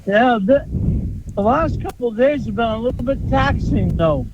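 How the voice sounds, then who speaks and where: A man's voice: extremely high pitch, expressive, moderate pace. A man phoning in a fishing report from a marina, telling it in a dry, matter-of-fact way. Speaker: charter fishing caller. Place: outdoors by a marina. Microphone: phone. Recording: dull phone-like line with noise.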